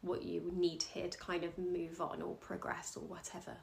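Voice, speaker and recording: A woman astrologer, a podcast guest, talking candidly and casually about her work in a relaxed, soft-spoken way. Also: fairly low pitch, moderate pace, gravelly, a little hiss or hum. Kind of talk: casual interview talk.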